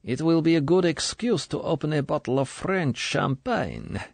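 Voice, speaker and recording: A man's voice: on the high side, very animated, normal speed, no room sound, voice pushed up, exaggerated voice. A man voicing a sugary, menacing middle-aged female Soviet colonel, in an audiobook. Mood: falsely sweet. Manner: falsely sweet, smooth, then cold and commanding.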